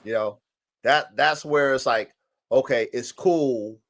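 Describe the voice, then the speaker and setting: A man's voice: medium pitch, takes it slowly. A man with allergies and a stuffed-up voice, hosting a live stream podcast and talking casually about dogs, with plenty of swearing and acted-out quotes. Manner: animated, profane, acts out dogs and owners in quoted voices. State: congested from allergies. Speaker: podcast host. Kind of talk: casual chat. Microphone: live stream mic.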